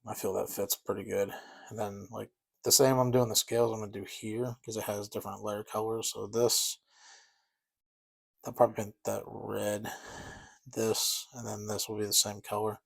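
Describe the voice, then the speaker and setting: A man's voice: lowish voice, flat delivery, moderate pace, gravelly. A man chatting casually and rambling a bit as he paints miniatures, easygoing and self-deprecating. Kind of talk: casual chat while painting.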